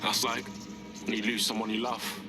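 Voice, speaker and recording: A man's voice: fairly low pitch, flat delivery, moderate pace, lightly acted. A man with a British accent playing a loyal, down-to-earth resistance operative in a video game, talking casually. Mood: tender, reluctant.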